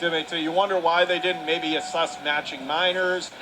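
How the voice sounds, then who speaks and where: Male sports announcer, high-pitched, clipped and excitable hockey calls, light arena noise in the background. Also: moderate pace. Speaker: radio play-by-play announcer. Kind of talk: live ice hockey play-by-play commentary. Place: commentary position at an indoor ice rink.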